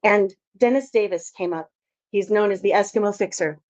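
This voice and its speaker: A woman's voice: medium pitch, normal speed, a little mellow. A woman from Alaska giving a conference talk as a filmmaker, telling her story in a chatty, anecdotal way. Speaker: filmmaker presenter. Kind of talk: conference presentation. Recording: dull lo-fi audio.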